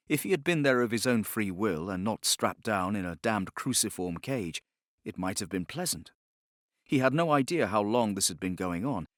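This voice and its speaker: Low-voiced male audiobook narrator, very dry. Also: fairly bright tone, no echo. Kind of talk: scripted dramatic narration. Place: studio, close and dry.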